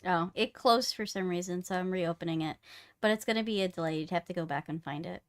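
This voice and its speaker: A woman chatting casually and reacting on a live game stream, profane, teasing and expressive. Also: on the low side, fast talker. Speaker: streamer co-playing a visual novel.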